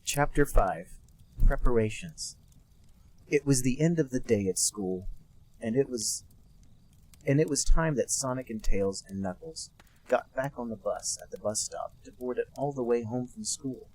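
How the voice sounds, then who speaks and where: A man co-hosting a podcast, reading a bad fan story aloud in a deadpan, mock-serious way. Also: mid-range voice, a little slow, low-quality recording. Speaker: podcast co-host reading a fanfic. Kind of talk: comic dramatic reading.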